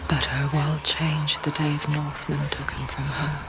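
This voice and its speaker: An actress voicing an ominous, intimate narrator inside a warrior's head, whispering breathily in a dramatic video game. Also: female voice, sits low, little pitch movement, very noisy. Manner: intimate, slow-burning, ominous.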